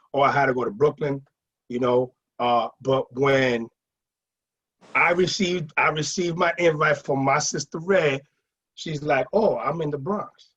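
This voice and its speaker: A middle-aged man casually telling stories in an animated way, acting out what people said. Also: medium pitch, breathy edge. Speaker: guest sharing nightlife memories. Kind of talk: casual anecdotal storytelling. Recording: muffled lo-fi audio.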